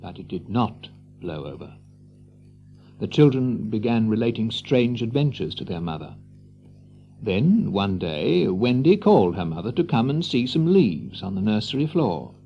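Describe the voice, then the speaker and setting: A man narrating a children's story in a theatrical, deliberate and expressive way. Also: on the low side, creaky, muffled recording, a little hiss or hum.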